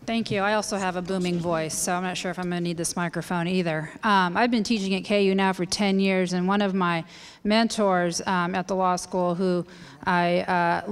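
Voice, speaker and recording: A woman's voice: lowish voice, smooth. A woman in her thirties, a law professor, speaking earnestly at a panel table with many filler words. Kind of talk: panel lecture. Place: large hall, seated at a panel table. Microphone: table microphone on a stand. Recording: reverberant lecture hall.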